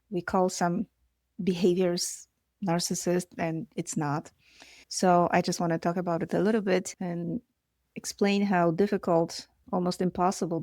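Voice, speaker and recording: A woman psychologist from Poland, speaking English with a Polish accent as a guest, giving earnest, measured explanations. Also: lowish voice, creaky, darkish tone, no echo, studio quality. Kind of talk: interview answers.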